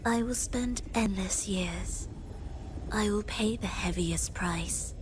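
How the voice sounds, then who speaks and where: Woman acting a slow, grave, resolute heroine. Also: medium pitch, noisy background, close to an everyday voice. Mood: solemn resolve. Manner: solemn, deliberate, restrained. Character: grave, resolute woman musician bent on her mission. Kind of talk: scripted video game voice acting. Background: game music.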